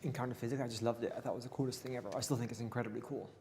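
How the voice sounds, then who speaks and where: A man, an interview guest, talking about his path into physics in a relaxed, matter-of-fact way. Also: mid-range voice, rapid-fire, long reverb tail, a little hiss or hum. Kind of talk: conversational interview.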